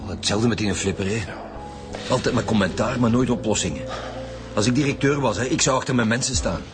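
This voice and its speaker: An actor playing an irritable, blunt senior Flemish police detective in a radio drama. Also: male voice, mid-range voice, brisk, lightly acted. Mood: irritated. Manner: blunt, businesslike. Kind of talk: naturalistic radio drama acting. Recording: music under the dialogue.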